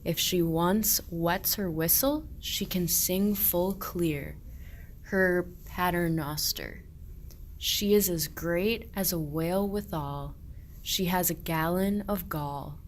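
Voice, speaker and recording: A weary, henpecked shepherd played by a woman grumbles through old verse in a lowish voice. The delivery is slow and declamatory, in the manner of an amateur radio play. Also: a little hiss or hum. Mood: bitter grumbling.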